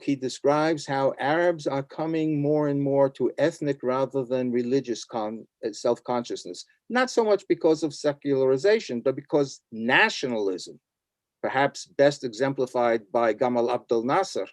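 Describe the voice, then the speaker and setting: An older man, a professor, explaining history on an academic panel in a deliberate manner. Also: medium pitch, dry recording.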